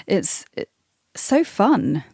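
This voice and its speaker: A woman's voice: mid-range voice, sing-song, very slow, creaky, a little mellow, dry recording, clean studio sound. A woman hosting a podcast, asking questions in a casual, conversational way. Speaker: podcast host, interviewer.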